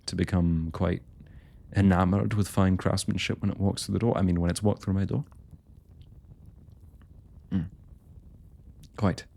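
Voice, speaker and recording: A man's voice: low-pitched, flat delivery, a little slow, vocal fry, long pauses, dark tone, full character voice. A man playing a young royal blacksmith in an intimate fantasy roleplay, speaking to the listener in courtly, hesitant, old-fashioned phrasing. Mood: enamored, flustered. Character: young male royal blacksmith, smitten, forthright, proud working man.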